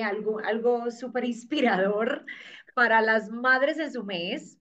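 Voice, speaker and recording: A woman's voice: fairly high pitch, a bit bright, dull audio. A woman hosting an interview, asking curious, encouraging questions in a casual conversational way. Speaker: podcast host.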